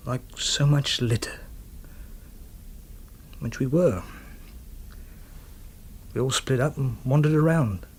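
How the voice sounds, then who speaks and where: A former soldier in a radio drama, telling his war story with grim understatement. His voice is husky and rough-edged, and he proceeds haltingly, with dry, bitter wryness. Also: medium pitch. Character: former soldier grimly recounting a wartime killing.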